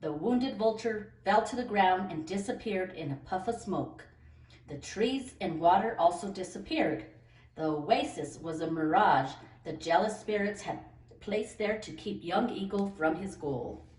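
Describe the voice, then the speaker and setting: A woman's voice: mid-range voice, lively intonation, unhurried, a little mellow. A woman narrating a children's story as a read-aloud storyteller. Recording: roomy echo, distant microphone.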